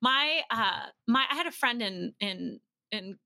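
Bright-voiced woman chatting casually. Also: mid-range voice, unhurried, vocal fry, no echo, clean studio sound. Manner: chatty, animated retelling of exchanges in quoted dialogue. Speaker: podcast guest sharing family travel experience. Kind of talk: casual conversational storytelling.

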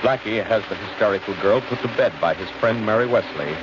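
A male announcer delivers scripted narration in old-time radio style. His voice is fairly low. The vintage recording is muffled and full of loud noise. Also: a little fast.

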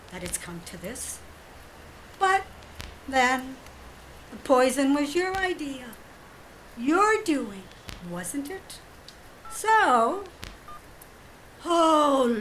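High, slow-spoken genteel woman, coolly devious. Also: a bit bright, a little hiss or hum, heavily acted, poor audio. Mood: mock concern. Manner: hesitant, polite, drawn-out delivery. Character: genteel, coolly devious woman feigning sweet innocence with a friend. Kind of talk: audio drama acting.

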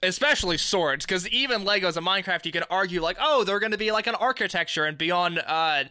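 A young man with a very bright, high voice hosts a casual chat, cracking jokes and wandering before he lands on a curious question. The sound is dry and close. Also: lively intonation, fast talker, smooth, no echo. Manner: joking, rambling, curious questions. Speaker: podcast host.

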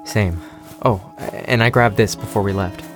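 An actor playing a loyal, caring and determined survivor in a post-apocalyptic audio drama, speaking earnestly and supportively. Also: male voice, fairly low pitch, fairly even intonation, moderate pace, over music, lightly acted. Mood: uneasy, subdued.

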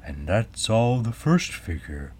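A man's voice: on the low side, very animated, slow pace, husky, voice pushed up, exaggerated voice. A man voicing a sad, tearful, earnest sea turtle in a storybook. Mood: animated, instructing. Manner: mournful, solemn, earnest. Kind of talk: storybook character voice.

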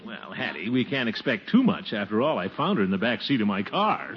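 An actor playing a pompous, genial middle-aged bachelor uncle with a blustery, chuckling American delivery in 1940s radio comedy style. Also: male voice, fairly low pitch, sing-song, fairly quick, a crowd in the background. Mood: courteous, mildly pompous. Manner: blustery, chuckling, theatrically swooping. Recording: muffled, noisy 1940s radio recording.

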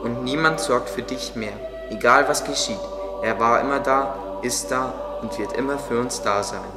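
A man's voice: mid-range voice, moderate pace, close to an everyday voice. A man playing a kindly, devout gardener who explains things earnestly in an audio drama. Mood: earnest, explanatory. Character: devout, kindly male gardener, patient teacher. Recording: echoey, long reverb, under music. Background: music.

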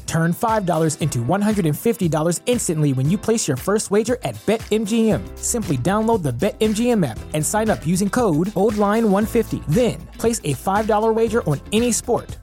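Brief: female announcer, polished ad read, mellow tone, faint music bed. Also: medium pitch. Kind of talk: scripted radio ad read.

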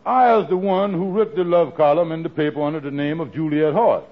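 A man's voice: a little high, fairly quick, noisy background, close to an everyday voice. An actor playing a glib, blustering schemer in old-time radio comedy, stammering through his excuses. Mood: glib bluffing. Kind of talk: 1940s radio comedy acting. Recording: dull old radio recording.

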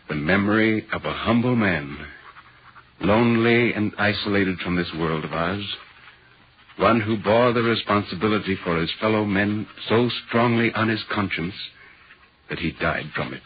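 An actor playing a grave, troubled Victorian writer, narrating solemnly and dramatically in a 1940s radio drama. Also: male voice, on the low side, natural voice. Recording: muffled, hissy 1940s radio broadcast.

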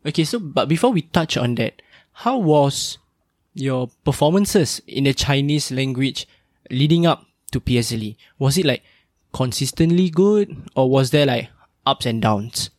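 A man's voice: medium pitch, lively intonation, unhurried, a little mellow, no room sound, studio quality. A young man hosting a podcast interview, talking in an upbeat, chatty and emphatic way. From Singapore. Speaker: podcast host. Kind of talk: casual interview chat.

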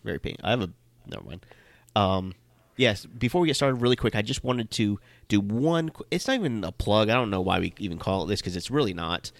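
A young man co-hosts a podcast with a low, nasal voice, still stuffy from a cold. He rambles, fills gaps with ums and laughs easily. The sound is dry and close. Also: animated, a little fast, creaky, dry recording. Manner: rambling, laughs often, filler-heavy. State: getting over a cold. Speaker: podcast co-host. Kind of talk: casual chat.

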